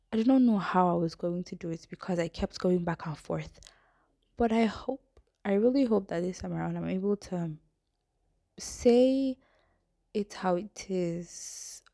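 A young woman in her twenties talks through a testimony on her podcast while nursing a cold. Her voice sits a little low and mellow, and she rambles and apologizes, often slipping into a breathy near-whisper. The sound is dry and close. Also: no room sound. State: has a cold. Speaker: faith podcast host. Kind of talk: casual testimony podcast talk.

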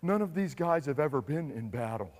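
A man's voice: a little high, animated, a little fast, a little mellow, big-room sound. A man voicing an eager, slangy surfer-dude leader of Israelite soldiers, rambling along in a comedic Bible sketch. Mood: instructing.

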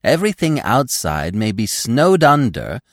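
A man voicing a naive, boastful young male deer in a storybook, with a calm, self-satisfied manner. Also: medium pitch, unhurried, no room sound, clean studio sound, pitched above the performer's own voice, exaggerated voice. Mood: proud, boastful. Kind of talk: storybook character voice.

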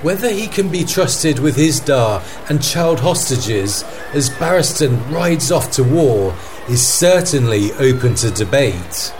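A man playing an earnest war correspondent, giving measured, emphatic reports in a fantasy radio news play. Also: medium pitch, animated, darkish tone, slight background noise. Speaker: field correspondent.

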